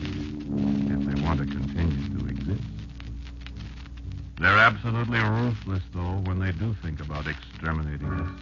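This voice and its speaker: A man playing a wary old insect elder in an old-time radio drama, telling a child grim survival tales in a confiding, folksy way with deliberate pauses. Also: low-pitched. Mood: confiding, menacing. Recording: dull lo-fi old radio recording. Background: prominent music.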